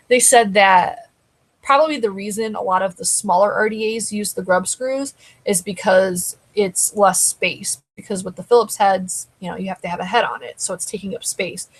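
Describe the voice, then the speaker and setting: A woman's voice: mid-range voice, moderate pace, rough recording. A woman hosting a casual live stream, chatting loosely and answering viewers, her throat getting dry. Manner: rambling, conversational, answers chat comments. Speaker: live show host.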